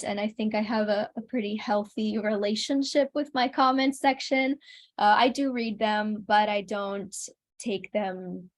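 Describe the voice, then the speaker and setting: Earnest woman guest, medium-pitched voice. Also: creaky. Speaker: interview guest, singer and content creator. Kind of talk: casual interview chat.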